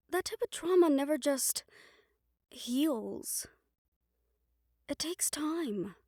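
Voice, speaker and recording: A woman playing a gentle, caring young banshee in an intimate audio roleplay, speaking softly and soothingly, with some phrases trailing into a whisper. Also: high-pitched, no echo, studio quality, full character voice. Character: gentle young female banshee from Puerto Rico, caring crush. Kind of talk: intimate audio roleplay acting, one-sided conversation.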